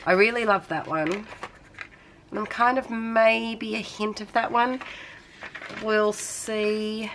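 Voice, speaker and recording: A woman's voice: fairly low pitch, a little hiss or hum. A young woman with a slight cold, chatting casually and rambling through a craft tutorial at her desk, with lots of fillers. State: coming down with a cold. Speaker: craft channel host.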